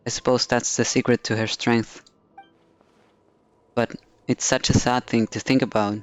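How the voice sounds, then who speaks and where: A man's voice: medium pitch, little pitch movement, measured pace, no echo. Male game streamer, dry close sound. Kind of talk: reading game dialogue aloud with casual commentary.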